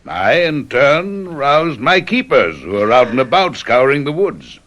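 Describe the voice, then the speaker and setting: An actor playing a cruel, pompous English aristocrat in an old radio drama, sarcastic and menacing. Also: male voice, mid-range voice, big pitch swings, unhurried, a bit bright, muffled recording. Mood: smug surprise. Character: cruel, gloating English aristocrat husband with a gun.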